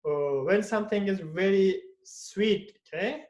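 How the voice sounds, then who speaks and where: A man's voice: high voice, unhurried, a little mellow, roomy sound. A man teaching English in a live online class from home at night, tagging "okay?" onto nearly every phrase and acting out each example. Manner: tags "okay?" after most phrases and acts out each interjection.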